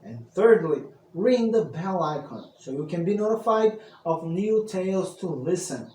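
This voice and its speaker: A man's voice: high-pitched, lively intonation, measured pace, mellow. A man narrating a scripted tale as an old-fashioned storyteller, with theatrical, grandiose delivery. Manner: theatrical, grandiose greetings and sign-off. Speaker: storyteller, channel host. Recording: roomy, distant mic with faint noise.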